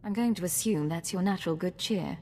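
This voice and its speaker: An actress playing a composed female imperial secret agent in a video game, speaking in a measured, dry-witted way. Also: on the low side, mellow, in a large hall, faint music behind, lightly acted. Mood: cool, wry.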